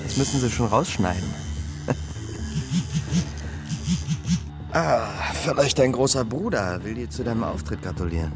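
An actor playing an aggressive, impatient neo-Nazi tattooist in a radio drama, sneering and barking. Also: male voice, medium pitch, lively intonation, a little fast, music in the background, lightly acted. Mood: sneering, mocking. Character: aggressive, bullying neo-Nazi tattooist, short-tempered ringleader.

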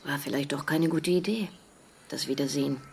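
Worn-out friend in her 40s, low dry voice, radio play, bit of background noise. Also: normal speed, creaky. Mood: hungover, wry. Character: tired, wry best friend in her forties. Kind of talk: audio drama acting.

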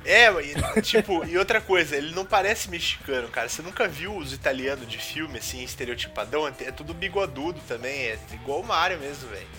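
A man's voice: fairly high pitch, lively intonation, a little fast, hardly any pauses, music in the background. A man with a Brazilian accent bantering in casual slang as a jokey show co-host. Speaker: podcast co-host.

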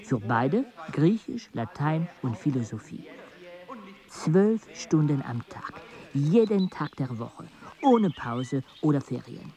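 A man narrating a radio play in a measured, even storytelling manner. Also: a little high. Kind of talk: scripted narration.

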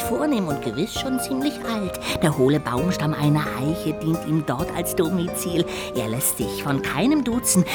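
An actress playing a small, childlike, chatty little ghost in a children's audio drama, exclaiming and musing to itself expressively. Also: female voice, medium pitch, close to an everyday voice.

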